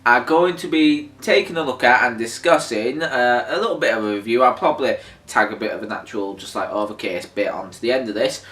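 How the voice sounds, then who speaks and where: A man's voice: mid-range voice, fairly quick, hardly any pauses, a bit bright. A man hosting an unscripted, casual review chat as the presenter of a video. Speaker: video host. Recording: roomy echo, distant mic, music.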